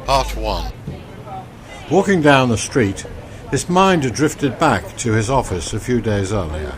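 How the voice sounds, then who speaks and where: A man narrating a scripted radio drama in a theatrical, deliberate manner. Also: medium pitch, very animated.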